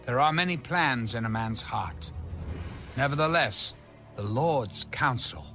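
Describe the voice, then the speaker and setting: A man delivers a scripture reading at a slow, deliberate pace. His pitch moves expressively and his phrasing is declamatory and measured. Music plays behind him. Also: animated, pushed and bright. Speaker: audio Bible reader.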